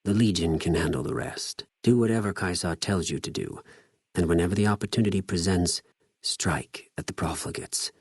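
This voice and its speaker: An actor playing a cold, zealous, contemptuous legion spy in a video game, speaking formally with measured condescension. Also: male voice, low voice, breathy edge, no echo, clean studio sound. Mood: calm condescension. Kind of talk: scripted video game character dialogue.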